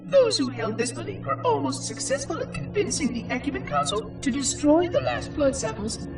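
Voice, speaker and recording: An echoing, very high, synthetic voice of an ancient robot caretaker. He speaks in formal, exact, theatrical phrases, fussy and dutiful yet curious and lonely, with sweeping intonation, like a log entry in a sci-fi video game. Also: big pitch swings, normal speed. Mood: earnest, grave. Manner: precise, formal, theatrical enunciation. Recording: distant, echoing, music underneath. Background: music.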